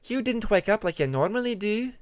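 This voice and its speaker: A man's voice: high voice, expressive, a little fast, no echo, studio quality, voice pushed up. A man voicing an inquisitive young female dragon, pushy and questioning, in a fantasy audiobook. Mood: curious, pressing. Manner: questioning, insistent.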